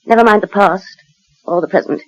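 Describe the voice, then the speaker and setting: A woman's voice: medium pitch, a little fast, muffled recording, close to an everyday voice. An actress playing a well-bred British lady in a theatrical, hesitant 1940s radio drama style. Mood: dreamy, wistful. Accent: British English. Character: well-bred lady, by turns shocked visitor and dreamy mental patient. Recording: old 1940s radio recording.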